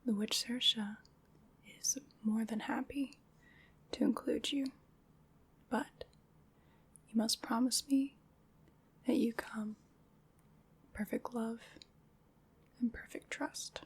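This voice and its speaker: A woman playing a gentle, solemn witch in an ASMR roleplay, guiding a ritual in a soft, breathy, deliberate whisper. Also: a little high, fairly even intonation, heavily acted. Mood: inviting, mysterious. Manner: slow, soothing, deliberate guided-ritual delivery.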